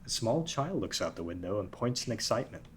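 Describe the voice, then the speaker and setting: A man's voice: on the low side, big pitch swings, brisk, husky. A man narrating a script, reading out scene headings and stage directions. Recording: background room noise.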